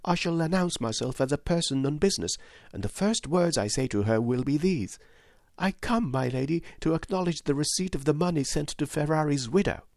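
A man's voice: on the high side, lively intonation, brisk, darkish tone, no echo, studio quality, pitched above the performer's own voice. A man voicing a timid but resolute widow. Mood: earnest. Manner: earnest, dignified, rising to indignation. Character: timid but determined widow, adult woman. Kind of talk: audiobook reading of a classic novel.

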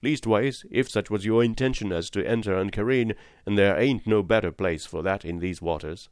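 A man voicing a wily old one-legged pirate cook who talks in a folksy, rough sailor's way. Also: lowish voice, normal speed, darkish tone, no room sound, studio quality, close to an everyday voice. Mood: wheedling.

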